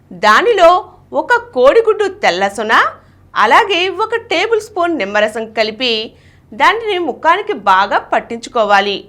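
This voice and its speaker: High-pitched, animated woman presenting tips. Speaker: host and reader of a beauty tips show. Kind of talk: scripted presentation of home beauty tips.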